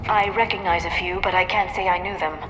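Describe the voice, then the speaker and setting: A woman voicing a soft-spoken, earnest and troubled female alien scientist in a cinematic video game. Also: on the low side, steady tone, quick pace, slight background noise, close to an everyday voice. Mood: earnest, reflective. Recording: long hall reverb over game music.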